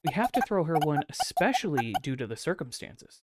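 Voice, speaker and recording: A woman voicing a gentle, earnest young ghost girl who acts mature, in a visual novel read-aloud. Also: sits low, big pitch swings, brisk, heavy background noise. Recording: loud background game music.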